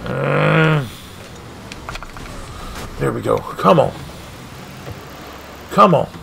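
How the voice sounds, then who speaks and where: A man streaming a car soccer game, commentating his own play with excited outbursts and frustration at near misses. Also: mid-range voice, very slow, vocal fry, a little hiss or hum. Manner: animated, exclaiming, frustrated at missed shots. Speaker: gaming streamer. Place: home gaming room.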